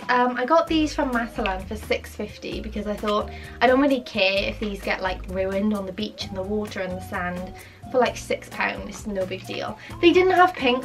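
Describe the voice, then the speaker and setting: Bubbly young woman, music underneath. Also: on the high side. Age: young adult. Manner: bubbly, chatty, excitable. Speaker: vlogger. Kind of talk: casual shopping haul chat. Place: pink bedroom indoors. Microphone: camera on a stand, sitting close. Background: background music.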